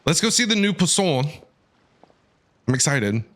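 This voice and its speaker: Animated, slightly high-voiced male game streamer. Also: a little slow. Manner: enthusiastic, chatty. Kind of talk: casual live gameplay commentary.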